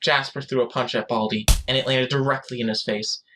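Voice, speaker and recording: A man's voice: mid-range voice, fairly even intonation, brisk, music in the background. A man reading scripted narration for a story as its narrator.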